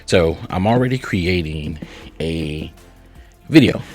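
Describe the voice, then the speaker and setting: A man's voice: deep voice, animated, slow pace, a little mellow, husky, soft background music. A man hosting a casual step-by-step tutorial, chatty and instructional, often checking in with short tags. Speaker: host of software tutorial channel.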